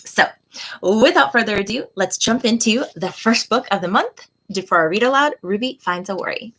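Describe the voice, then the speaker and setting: A middle-aged woman hosting a read-aloud for children as a tutor, speaking in a deliberate, expressive storytelling manner. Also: mid-range voice, background noise.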